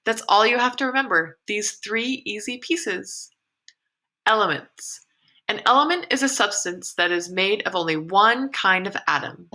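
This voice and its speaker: A woman's voice: medium pitch, expressive, unhurried, pushed and bright. A woman teacher reading a science article aloud to her students, explaining along the way and correcting her own slips. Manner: encouraging, explains as she reads, with slips and self-corrections.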